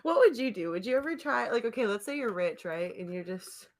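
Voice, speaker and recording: A woman's voice: fairly high pitch, animated, fairly quick. A young woman hosting a podcast, telling anecdotes in casual chat and laughing often. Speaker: podcast host.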